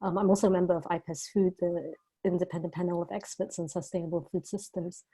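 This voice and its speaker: Female expert panelist, soft mellow tone on the lower side, measured presentation style. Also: steady tone, husky. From Malaysia. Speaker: NGO researcher, expert panel speaker. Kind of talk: prepared remarks in a panel discussion.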